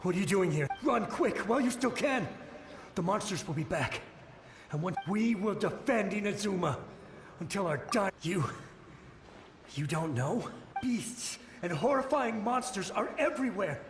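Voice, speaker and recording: A man's voice: high voice, expressive, moderate pace, noisy background. A man voicing a desperate, exhausted soldier in a fantasy game, speaking in a strained, urgent, pleading way. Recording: echoing voice under clear game music.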